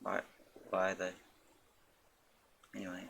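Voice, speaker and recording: A man's voice: low-pitched, monotone, slow pace, vocal fry, fairly bright tone, a little hiss or hum. A man giving casual live commentary as a game streamer, in a muttering, laid-back way.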